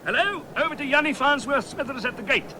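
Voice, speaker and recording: A man's voice: very high-pitched, lively intonation, brisk, sharp tone, slight background noise, exaggerated voice. A man playing a bumbling, digressive small-town South African cricket commentator in a 1970s radio comedy. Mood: flustered.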